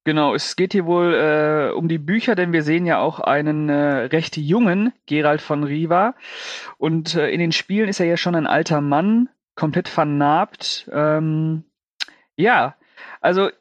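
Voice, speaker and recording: A man's voice: on the high side, a little slow, dry recording, highs cut off. A man co-hosting a film news show in casual, slangy conversation.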